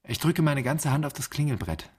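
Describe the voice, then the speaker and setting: Husky, very varied pitch, restless doubting son, searching delivery, poetic radio drama. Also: male voice, lively intonation, brisk, a little mellow. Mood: urgent remembering. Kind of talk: poetic radio play monologue.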